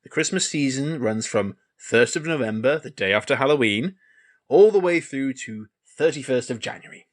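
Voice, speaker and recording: Male actor as lonely childlike best friend, Christmas-obsessed, theatrical, gushing, earnest, mid-pitched, audio play comedy. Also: animated, normal speed, close to an everyday voice. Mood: insistent.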